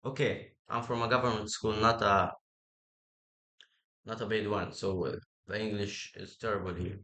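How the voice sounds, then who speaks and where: A man from Egypt with an Egyptian accent, a native Arabic speaker, informally presenting his own idea in halting, repetitive English with rough grammar. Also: fairly low pitch, steady tone, pushed and bright. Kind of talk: informal explanatory pitch.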